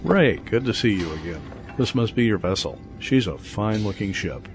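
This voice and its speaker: An actor playing a polite, composed college professor who speaks formally. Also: male voice, lowish voice, animated, normal speed. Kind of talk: radio drama acting. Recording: dialogue over music.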